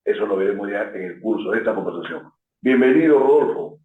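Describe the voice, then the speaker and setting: A man's voice: lowish voice, expressive, fairly quick. A man hosting a radio interview, asking long, winding questions. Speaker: host. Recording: tinny phone-like line in an echoey room.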